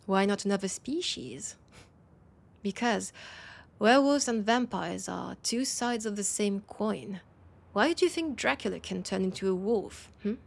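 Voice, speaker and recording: A woman with a French accent voicing a weary, wry female vampire in an intimate audio roleplay, speaking in a breathy, hesitant, confiding way. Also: mid-range voice, animated, measured pace. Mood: musing, wry. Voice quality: breathy, husky.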